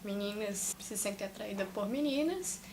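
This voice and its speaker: A woman's voice: mid-range voice, expressive, moderate pace, noisy background. A young woman, a history student and activist, giving earnest, explanatory interview answers.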